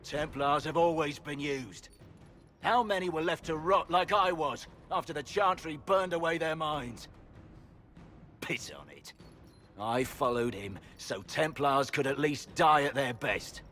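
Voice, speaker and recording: A bitter, defiant fallen knight. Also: male voice, fairly high pitch, a little slow, fairly bright tone, soft background music. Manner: curt, resentful delivery. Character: bitter disgraced male knight commander, weary and defiant. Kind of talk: video game voice acting.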